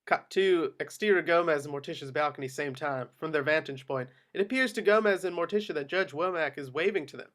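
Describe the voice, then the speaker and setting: A man's voice: on the high side, fairly quick, few pauses, a bit bright. A man reading screenplay action lines as the narrator of a table read. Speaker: stage directions narrator.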